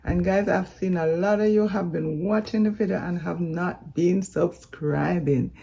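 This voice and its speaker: A woman with a Jamaican accent and a husky voice, earnestly giving a casual vlog update to her viewers. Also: lowish voice, measured pace, dark tone. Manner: earnest, repetitive, addresses viewers as guys. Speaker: vlogger.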